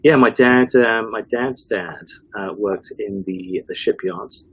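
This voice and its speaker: Husky low-voiced man, British Forces accent. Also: takes it slowly, no echo, poor audio. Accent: English with some northern vowels. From grew up in a British Forces family in Germany. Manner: rambling, many restarts, nostalgic. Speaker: podcast guest. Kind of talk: casual interview chat.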